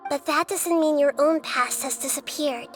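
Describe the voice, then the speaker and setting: A woman voicing a gentle, wise, childlike young goddess, speaking in a measured, earnest way in a video game. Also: high-pitched, soft background music, natural voice. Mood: solemn.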